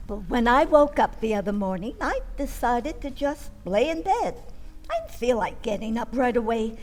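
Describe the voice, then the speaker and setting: A woman acts a chatty, homespun lady storyteller in a radio theater piece. Her slightly high voice is folksy and expressive, ringing with long reverb as in a hall. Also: moderate pace. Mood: folksy, cheerful. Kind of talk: theatrical first-person storytelling.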